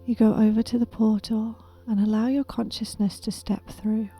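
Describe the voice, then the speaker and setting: Very mellow, half-whispering woman guiding meditation. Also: fairly high pitch, little pitch movement, faint music behind. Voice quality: breathy, whispery. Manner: soothing, gentle, deliberate. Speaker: meditation guide. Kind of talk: guided meditation, soft half-whispered narration.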